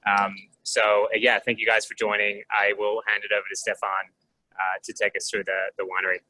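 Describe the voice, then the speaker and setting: A man from the winery family gives a hesitant welcome on a video call while on the move, dotted with ums. His voice is low and flat in tune, with a sharp, bright edge. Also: fairly quick, rough recording. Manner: hesitant, many ums, apologetic. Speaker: winery owner.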